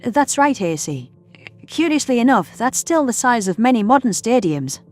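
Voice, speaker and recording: Man putting on an upbeat, high-voiced woman astronaut. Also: very animated, moderate pace, faint music behind. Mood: encouraging. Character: welcoming, upbeat female human astronaut.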